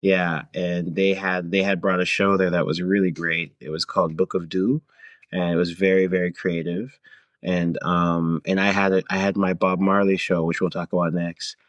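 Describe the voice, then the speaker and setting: A man with a very deep voice speaks as a guest in a relaxed interview. He comes from Canada and thinks aloud in short, halting phrases. The sound is very dry, with next to no room in it. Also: no echo, lo-fi sound. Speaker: guest, singer-songwriter and theater performer. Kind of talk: casual interview conversation.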